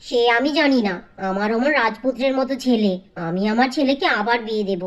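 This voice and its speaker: A woman acts out a bossy older village mother-in-law for a cartoon fairy tale. Her voice sits fairly high. She wails dramatically, nags and hands out orders. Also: fairly quick, close to an everyday voice. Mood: dismayed, indignant. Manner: dramatic wailing, nagging and ordering.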